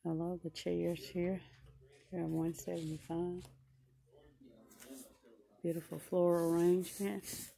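A woman's voice: fairly low pitch, flat delivery, takes it slowly, gravelly, pauses often, mellow. A woman shopping vlogger giving casual running commentary as she browses a large furniture showroom.

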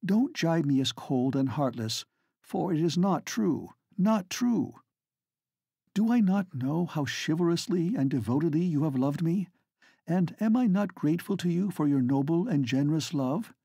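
Guy doing an earnest proud lady, pleading, mellow husky voice, no room sound at all. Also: fairly high pitch, expressive, moderate pace, no echo, clean studio sound, voice pushed up. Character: earnest gentle lady, proud yet tender.

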